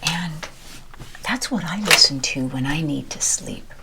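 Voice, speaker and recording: A woman whispering a rambling ASMR chat while ironing, her voice with a deeper timbre. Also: lively intonation, a little slow, creaky, heavy background noise. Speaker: ASMR creator. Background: ironing noises.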